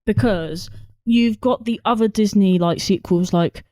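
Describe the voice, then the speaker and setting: A woman's voice: mid-range voice, unhurried, dark tone, dry recording. A woman co-hosting a film podcast, chatting casually about movies. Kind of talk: casual film review chat.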